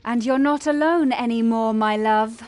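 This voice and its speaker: Proud fantasy-game demoness, female actor, haughty and dramatic, slightly high, dry sound. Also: moderate pace, darkish tone, no echo, natural voice. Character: proud, defiant female demon devoted to her love. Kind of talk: fantasy game cutscene acting.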